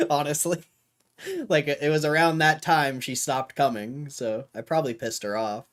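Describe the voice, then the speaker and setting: A hoarse young man hosting a livestream in a loose, rambling way, talking to his chat and often clearing his throat. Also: on the high side, steady tone, measured pace. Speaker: livestream host.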